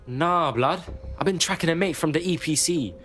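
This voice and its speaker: An actor playing a brash, friendly young hacker with a London accent and slangy, sweary talk in a video game. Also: male voice, on the high side, normal speed, fairly bright tone, natural voice. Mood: brash, matey. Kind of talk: dramatic game cutscene acting.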